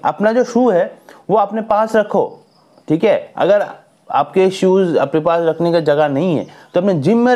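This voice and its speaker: A man's voice: sits high, muffled recording. A young man in his twenties talking to camera on a lapel mic in a small indoor room, giving earnest, scolding fitness advice. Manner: earnest, lively hand gestures, scolding advice. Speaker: fitness vlogger. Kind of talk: casual talk to camera.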